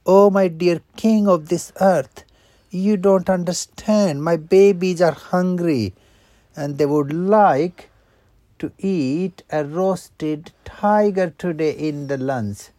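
A man voicing a mother wolf in a storybook, loud and theatrical as she pleads. Her voice is pitched up from the teller's own. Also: takes it slowly, no echo. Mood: theatrical pleading. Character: clever female wolf mother.

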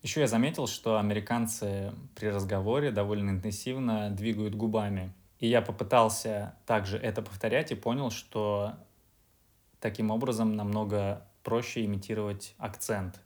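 A man being interviewed as a podcast guest, answering in a measured, explanatory way. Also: on the low side, fairly even intonation. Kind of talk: casual interview.

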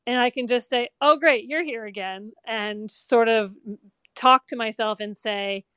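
A woman's voice: fairly high pitch, a little slow, fairly bright tone, clean tone, no room sound. A woman joining a talk by remote call, speaking in a chatty, upbeat way with many fillers. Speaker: guest caller. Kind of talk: casual chat.

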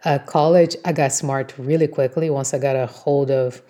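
Low-pitched woman, native Portuguese speaker, motivational talk. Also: steady tone, normal speed, mellow. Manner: encouraging, emphatic, instructive. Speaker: podcast host, motivational coach.

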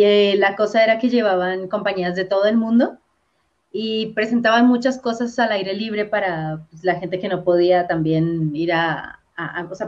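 A woman from Colombia, an actress and comedian, chatting as an interview guest with many fillers and repeated words. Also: medium pitch. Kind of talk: casual interview chat. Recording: dull lo-fi sound.

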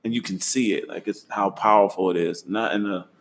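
A young man hosting a casual travel chat, relaxed and slangy, laughing easily. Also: on the low side, brisk, a little hiss or hum. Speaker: host.

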